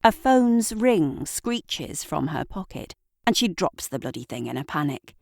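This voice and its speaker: A woman narrating an audio drama in a deliberate, theatrical storytelling manner, with dramatic pauses. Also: low-pitched, very animated, creaky, darkish tone, dry recording, studio quality. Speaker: narrator and writer.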